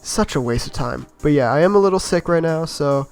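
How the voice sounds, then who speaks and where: Man, slightly raspy from illness, fairly high voice, casual game commentary, self-mocking, faint music behind. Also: expressive, fairly quick. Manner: easygoing, rambling, self-mocking with chuckles. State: slightly raspy from being sick. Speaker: gameplay commentator.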